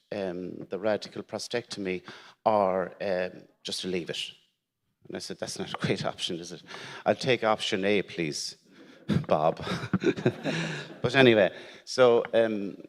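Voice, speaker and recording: A middle-aged Irish man, whose first language is Irish, telling a personal story at a conference in a halting way, repeating words and laughing wryly. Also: on the low side. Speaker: shed member telling his story. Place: conference hall. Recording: long hall reverb.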